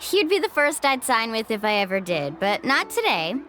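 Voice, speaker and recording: A woman's voice: on the high side, sing-song, moderate pace, bright, forceful tone, faint music behind. A woman voicing a bubbly young woman in an anime game, breezy, confident and generous with friends. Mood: cheerful.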